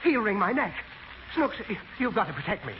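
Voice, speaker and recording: A man's voice: high-pitched, very animated, a little fast. An actor playing a flustered, cowardly, blustering father in theatrical 1940s radio comedy. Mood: panicky. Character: blustering, fretful, cowardly father, dreaming he's a turkey. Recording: noisy, dull vintage radio broadcast.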